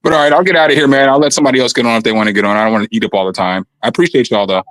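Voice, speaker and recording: A man with a raspy voice chatting casually and loosely from his home studio, full of slang and fillers. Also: medium pitch, steady tone, very fast, fairly bright tone, no echo. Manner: laid-back, slangy, rambling with fillers. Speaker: guest musician on a video call. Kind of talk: casual chat. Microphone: condenser studio mic.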